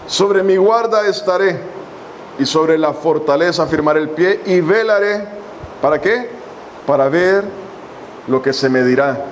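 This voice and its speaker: A man preaching a sermon as a pastor, reading scripture slowly and addressing his congregation with long pauses. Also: on the high side, a little hiss or hum, poor audio. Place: church hall.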